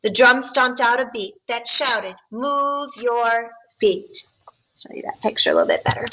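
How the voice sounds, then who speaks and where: A woman teacher reads a picture book aloud to young students. She stops to ask them questions and explain the story. Her voice is bright and rather high, with a friendly, patient tone. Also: huge pitch swings, fairly quick, rough recording. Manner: friendly, explaining to children between passages.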